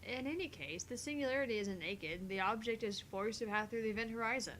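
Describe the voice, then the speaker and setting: Higher-voiced woman reading game dialogue, some noise. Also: a little fast, a bit bright. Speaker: voice reader in a visual novel playthrough.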